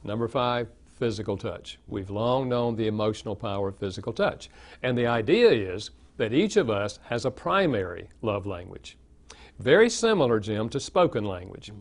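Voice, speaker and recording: An older man with a Southern American accent, an author answering interview questions in a steady, explanatory way. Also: fairly low pitch, normal speed. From Southern United States. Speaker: author and guest. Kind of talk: interview conversation.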